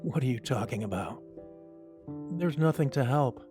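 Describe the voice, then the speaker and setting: A man playing a gentle, fatherly old mouse who is dying, slow and weary, in an audio drama. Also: mid-range voice, animated, natural voice. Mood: weary, tender. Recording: loud music under the voice.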